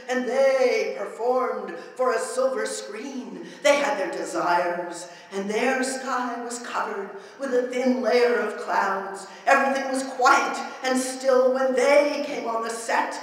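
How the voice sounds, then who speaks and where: A woman recites poetry in a big reverberant hall, her voice set back from the microphone. She is theatrical and declamatory, drawing phrases out slowly and chanting them like an incantation. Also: fairly high pitch, a bit bright. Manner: emphatic, declamatory delivery. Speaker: performance poet. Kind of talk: dramatic spoken-word poetry recital. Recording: echoey hall, voice far from mic.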